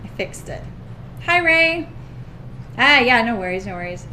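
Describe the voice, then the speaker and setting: A woman's voice: on the high side, big pitch swings, unhurried, bright, forceful tone. A woman hosting a sewing livestream, chatting casually and greeting viewers by name, her voice a little scratchy. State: slightly scratchy voice.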